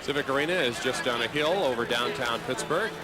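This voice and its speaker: A man's voice: medium pitch, brisk, low-quality recording. A man doing live play-by-play hockey commentary, describing the action in a fluent running call. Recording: loud arena noise behind. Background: loud hockey arena ambience.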